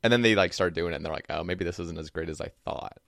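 Man, low voice, podcast host, casual interviewer, close dry sound. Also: fast talker, gravelly, fairly bright tone, no echo.

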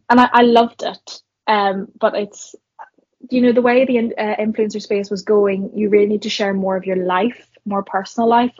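A husky young woman with a Northern Irish accent talks things through in a thoughtful, conversational way. Her voice sits dry and close on a somewhat dull, lo-fi recording. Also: mid-range voice, a little mellow, dry recording. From Northern Ireland. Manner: reflective, many fillers and restarts. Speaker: guest, marketer and entrepreneur. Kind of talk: conversational podcast interview.

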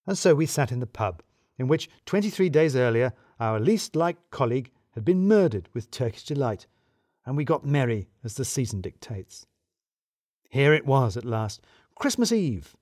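A man's voice: medium pitch, a little mellow, dry recording, studio quality. A man narrating a scripted first-person mystery story in a wry, arch, wordy storyteller's manner.

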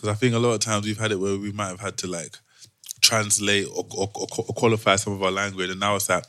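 Bright, low-voiced London man. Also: level tone, fast. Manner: slangy, repeats words. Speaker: podcast host. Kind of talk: casual podcast banter.

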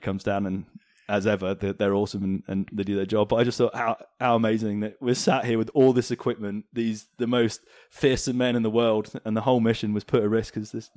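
A man from Hong Kong chatting casually as an interview guest, telling anecdotes in an animated way. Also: medium pitch, no room sound, studio quality. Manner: animated, chuckles as he tells anecdotes. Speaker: interview guest, former fighter pilot. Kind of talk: casual storytelling in a podcast interview.

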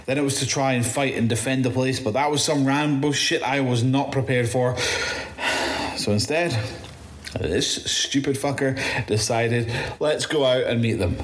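A man playing a shaken, bitter apocalypse survivor in an audio drama monologue, halting, stammering and swearing as he recounts his ordeal. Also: mid-range voice, close to an everyday voice. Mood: subdued, relieved. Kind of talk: first-person audio drama monologue, confessional. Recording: roomy echo, faint background noise.

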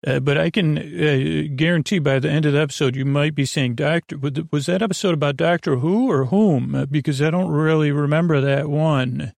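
A man's voice: on the high side, fairly quick, vocal fry, hardly any pauses, darkish tone, no echo. A man hosting a bedtime podcast, talking in a meandering, hesitant, soothing way that keeps drifting into digressions. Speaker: podcast host. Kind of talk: rambling bedtime story podcast monologue.

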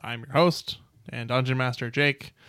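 Young male dungeon master, bright lively tone, dry close mic, jokey and loose. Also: mid-range voice, moderate pace, clean tone, no echo. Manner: loose, self-correcting, joking. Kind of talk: casual podcast chat. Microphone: studio mic.

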